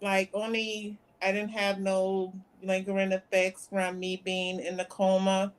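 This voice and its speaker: Flat-voiced woman in her fifties. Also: mid-range voice, measured pace, clean tone. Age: 50s. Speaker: guest. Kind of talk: interview conversation.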